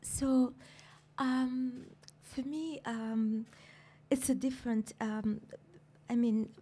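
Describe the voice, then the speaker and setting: A middle-aged woman from Somalia, speaking on a writers' panel with a handheld mic, thoughtful and halting with many fillers. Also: fairly high pitch, fairly even intonation, dark tone. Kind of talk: reflective panel talk. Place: seated on a couch in a lecture room.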